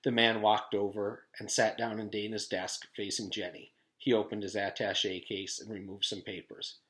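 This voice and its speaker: A man's voice: fairly low pitch, little pitch movement, normal speed, a bit bright, low-quality recording. A man reading a children's storybook aloud as a teacher would, with informal introductory remarks.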